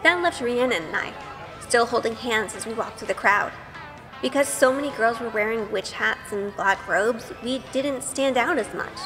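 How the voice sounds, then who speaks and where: A woman playing an earnest, sweet young witch heroine who narrates an audio drama in a gentle, measured way. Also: fairly high pitch, big-room sound, music in the background, a crowd in the background, natural voice, poor audio. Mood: reflective.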